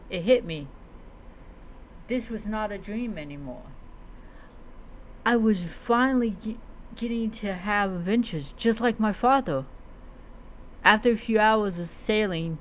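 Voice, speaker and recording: Slow, halting fantasy storyteller. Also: medium pitch, a little hiss or hum, poor audio. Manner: halting reading with frequent stumbles and repeated words. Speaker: streamer narrating own roleplay character's story. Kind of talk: slow dramatic storytelling of a fantasy roleplay tale.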